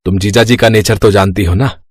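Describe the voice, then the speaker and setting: Man acting a mild, lowish-voiced family husband. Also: fairly even intonation, fairly quick, husky, no room sound, studio quality. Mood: hurried. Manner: hesitant, accommodating. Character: mild, worried middle-class husband and deferential younger brother. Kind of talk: scripted Hindi moral-story acting.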